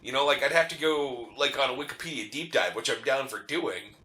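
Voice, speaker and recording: A man chatting casually as co-host of a video game playthrough, telling stories in a rambling, animated way. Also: medium pitch, a little fast. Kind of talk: casual chat over gameplay.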